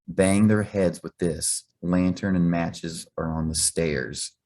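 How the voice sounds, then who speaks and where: A man's voice: low voice, steady tone, slow pace, darkish tone. A man voicing a harsh, bullying male ship's cook in a children's storybook reading. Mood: scornful.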